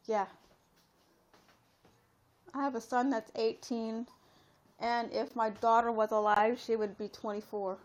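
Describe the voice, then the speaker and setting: A woman's voice: fairly high pitch, flat delivery, measured pace, pauses often. A woman in her late thirties chatting casually on a live stream, rambling and talking straight to her viewers with lots of fillers. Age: 30s. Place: at home.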